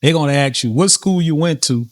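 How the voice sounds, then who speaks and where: A man with a New Orleans accent hosting a podcast, talking in a relaxed, rambling way with many fillers and restarts. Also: fairly high pitch, fairly quick, dry recording. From New Orleans, USA. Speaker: podcast host. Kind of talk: casual interview chat.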